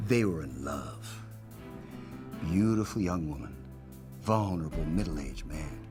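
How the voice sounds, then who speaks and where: Film role: wry middle-aged agent under questioning, very low, measured, animated inflection, faint music. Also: male voice. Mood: wry, guarded. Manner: sardonic, measured. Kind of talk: film interview scene, naturalistic acting.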